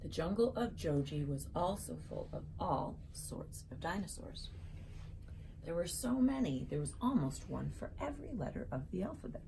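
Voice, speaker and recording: A woman children's author reading her picture book aloud and chatting in asides, with an animated storybook delivery addressed to young listeners. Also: lowish voice, normal speed, gravelly, slight background noise, poor audio.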